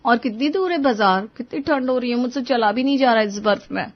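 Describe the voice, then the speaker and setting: A woman's voice: a little high, fairly quick, dry recording, voice pushed up, close to an everyday voice. Woman as a plaintive young wife, slightly muffled. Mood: weary. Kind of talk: Hindi moral-story cartoon dubbing.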